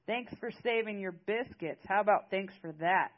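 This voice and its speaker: A woman voicing a gruff, protective old rural man in an audiobook, with a folksy drawl. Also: high voice, fairly even intonation, normal speed, lo-fi sound. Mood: storming mad. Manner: folksy drawl with rustic grammar.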